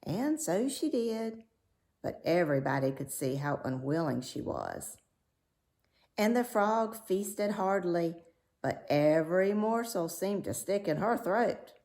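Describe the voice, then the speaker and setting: A woman reading a fairy tale aloud as a storyteller, measured and expressive. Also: lowish voice.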